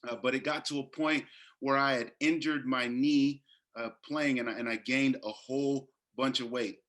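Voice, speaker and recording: A man's voice: medium pitch, fairly even intonation, moderate pace, a bit bright. A man in his thirties from Northern California, a health coach telling his story in a casual interview, earnest and full of restarts. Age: 30s. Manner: earnest, many fillers and restarts.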